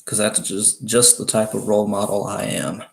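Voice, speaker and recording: A deep-voiced Southern man in his twenties from Alabama, talking loosely and chattily to viewers on a live stream. His low voice has a gravelly edge and stays fairly flat, with a rambling, easygoing flow. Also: moderate pace. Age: 20s. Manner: rambling, chatty, laughs often, restarts. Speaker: livestream host. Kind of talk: casual live chat with viewers.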